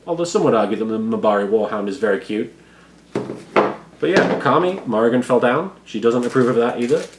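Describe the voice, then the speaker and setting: A man with a froggy, hoarse voice, chatting casually and self-deprecatingly as a YouTuber unboxing a subscription box. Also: mid-range voice, animated, brisk, background noise. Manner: self-deprecating, rambling, quiet-natured. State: hoarse, froggy voice after studio work. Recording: echoey room with faint background ambience.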